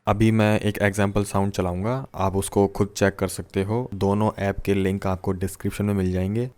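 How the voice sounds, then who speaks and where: Man, low-pitched, flat intonation, casual tech explainer, English terms mixed in. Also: clear, resonant tone, dry recording. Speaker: tech tutorial host.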